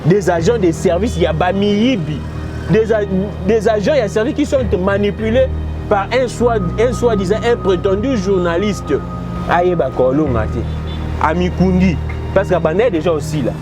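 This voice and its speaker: Man with a Congolese French accent, high voice, emphatic and outspoken, music playing behind him. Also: normal speed. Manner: emphatic, forthright, mixes two languages. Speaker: guest commentator. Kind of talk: impassioned political commentary.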